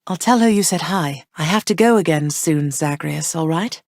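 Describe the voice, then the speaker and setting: An actress voicing a gentle, gracious queen of the underworld who speaks to her son and household with warm, reassuring motherly care in game dialogue. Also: sits low, moderate pace, no room sound, clean studio sound. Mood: tender. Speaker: game character. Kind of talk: game voice lines.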